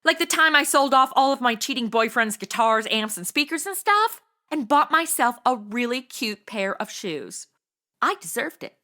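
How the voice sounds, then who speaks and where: A woman's voice: a little high, expressive, moderate pace, pushed and bright. A woman playing a chatty, boy-crazy, ditzy would-be investigator in a comedy audio drama, gushing, emotional and flippant as she overshares. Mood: breezy, oversharing.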